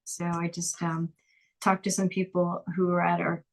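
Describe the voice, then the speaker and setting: A woman's voice: lowish voice, monotone, normal speed, poor audio. A woman musician chatting casually as an interview guest, upbeat and talkative with frequent restarts. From Lehigh Valley, Pennsylvania.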